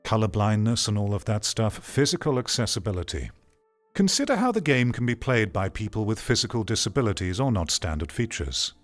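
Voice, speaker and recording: A man with a fairly low voice delivers opinionated live commentary in the manner of a streamer on a rant. He is blunt and outspoken, and music plays behind his voice. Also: very animated, fairly quick. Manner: blunt, ranting, swearing.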